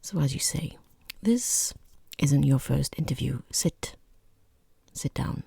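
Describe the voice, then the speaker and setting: A woman playing a rude, bored, condescending boss in a softly spoken, mostly whispered ASMR roleplay, close to the microphone, with snide, deliberate delivery. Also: low-pitched, lively intonation, no echo, exaggerated voice. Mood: appalled, condescending. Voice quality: breathy, husky whisper.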